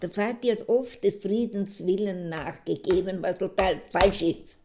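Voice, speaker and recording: An actress playing a reflective middle-aged woman looking back on her marriage in a halting, musing audio drama monologue. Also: mid-range voice, warm tone, a little hiss or hum, close to an everyday voice. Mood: reflective, resigned. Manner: halting, musing, pausing to think.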